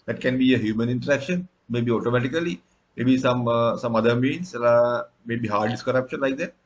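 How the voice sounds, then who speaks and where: A man's voice: medium pitch, fairly quick, lo-fi sound. A man from Sri Lanka, a native Sinhala speaker, giving a recorded tutorial lecture with frequent fillers and restarts. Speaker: instructor.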